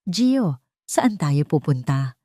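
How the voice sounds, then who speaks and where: A woman's voice: deep voice, big pitch swings, slow, mellow, no room sound, clean studio sound, heavily acted. A woman voicing a tender, trusting young mother in love, earnest and emotional, in an audio story. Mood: curious, amazed.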